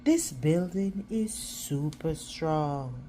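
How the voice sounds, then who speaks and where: A woman's voice: low voice, lively intonation, drawn-out words, warm tone, a little hiss or hum, full character voice. A woman voicing an outspoken, anxious, determined schoolgirl from a children's storybook, urgent and insistent. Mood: confident.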